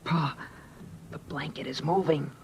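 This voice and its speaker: A young man playing an anxious, watchful boy who narrates suspenseful old-time radio drama in a hushed manner. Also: a little high, steady tone, unhurried, background noise. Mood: hushed fear. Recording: dull vintage radio recording, music underneath.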